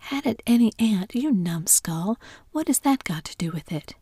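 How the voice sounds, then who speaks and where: A woman whispering the lines of a stern but soft-hearted old aunt in a storybook reading. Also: mid-range voice, lively intonation, measured pace, slightly rough, no echo, clean studio sound, voice pushed up. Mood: scolding.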